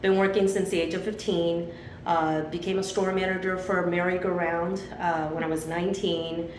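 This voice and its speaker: A woman's voice: on the low side, flat delivery, moderate pace, roomy sound, a little hiss or hum, low-quality recording. A woman in her fifties from Chile who grew up in Miami, telling her life story in a rambling, chatty way as an interview guest. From Chile, raised in Miami. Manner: chatty, rambling with many fillers.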